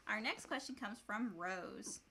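A woman's voice: mid-range voice, animated, a little slow, sharp tone, a little hiss or hum. A woman in her thirties co-hosting a casual chat and reading questions aloud. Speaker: co-host reading fan questions. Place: small room indoors, on a couch.

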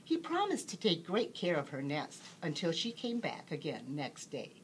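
A woman reading a children's bedtime story aloud as an expressive storyteller. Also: sits low, normal speed, slight background noise.

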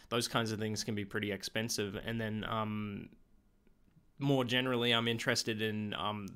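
A young man, slightly hoarse from being sick, chatting in a relaxed, rambling way with lots of ums in a video diary at home. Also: lowish voice. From Australia. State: slightly hoarse, getting over an illness. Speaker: vlogger.

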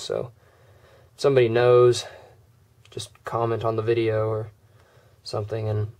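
Man with a cold showing off a phone. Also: mid-range voice. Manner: relaxed, many "uh" and "um" fillers. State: hoarse, fighting a cold. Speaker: tech video creator. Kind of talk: casual screen demo walkthrough.

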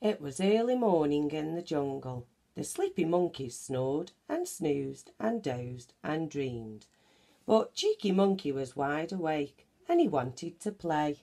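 A woman reading a children's picture book aloud in an animated, deliberate manner. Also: sits low. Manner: animated, deliberate, acts out sound effects. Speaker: children's story reader. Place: small bedroom at home, indoors.

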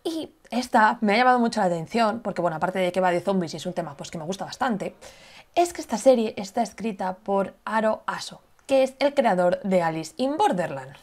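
A woman's voice: mid-range voice, lively intonation, brisk. Chatty woman co-host. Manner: chatty, informal. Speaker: co-host of a manga and anime news show. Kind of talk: casual conversational news chat. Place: home room on a video call.

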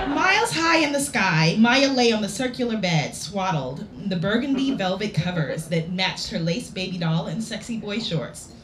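Expressive woman reading aloud, very lively pitch. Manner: playful, theatrical, with dramatic pauses. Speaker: author reading own work. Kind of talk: expressive story reading to a live audience. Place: indoor lounge at a public reading event. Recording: roomy live venue recording with background noise.

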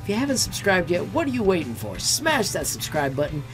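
A man's voice: high voice, wildly swooping pitch, a little fast, over music. Upbeat male teacher hosting a channel update. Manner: upbeat, enthusiastic, keeps calling viewers friends. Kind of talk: channel update screen-share vlog. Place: indoor room.

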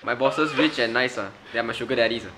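A man's voice: mid-range voice, quick pace. A man of twenty-one with a Malaysian English accent, a player who jokes and teases his teammates. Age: 20s. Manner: joking, teasing. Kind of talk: casual team interview. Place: living room with sofas, indoors.

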